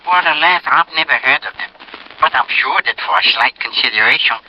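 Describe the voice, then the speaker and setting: An actor playing an ingratiating, greedy French underworld informant with theatrical, halting protests in a radio detective drama. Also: male voice, medium pitch, lively intonation, natural voice. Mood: sly, playful. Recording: dull, noisy vintage radio recording.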